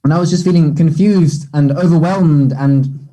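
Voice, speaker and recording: An earnest, unsure young man, acted by a man in a play reading. His voice is soft-edged, mellow and slightly high, with some huskiness. He speaks haltingly and apologetically, as if feeling for each phrase. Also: lightly acted, rough recording. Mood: hesitant, uneasy. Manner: hesitant, halting. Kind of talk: play table read.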